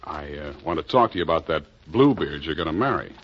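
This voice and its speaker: An actor playing a wisecracking hard-boiled American private detective in an old-time radio drama, deadpan and wry. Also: male voice, rumbling low, fast talker, husky, full character voice. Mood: blunt. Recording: muffled vintage radio recording, music behind.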